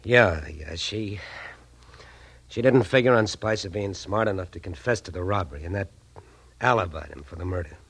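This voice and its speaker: A man's voice: low voice, normal speed, fairly bright tone, husky, no echo, exaggerated voice. An actor playing a desperate, cornered man in 1940s radio style, agitated and melodramatic. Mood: defeated, bitter. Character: desperate, cornered man protecting his lover.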